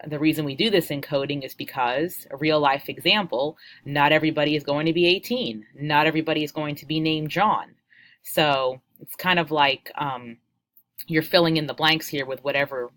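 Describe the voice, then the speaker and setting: A woman's voice: sits low, fairly bright tone. A woman in her forties, a teacher walking students through a coding tutorial patiently and step by step, upbeat. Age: 40s. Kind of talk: screen-share coding tutorial.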